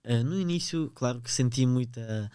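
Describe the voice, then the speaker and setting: Teen guest, Ukrainian accent; smooth, resonant voice, dry close sound, halting delivery with many fillers. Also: male voice, medium pitch, warm tone, dry recording. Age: teens. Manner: hesitant, searching for words, frequent fillers. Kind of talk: podcast interview.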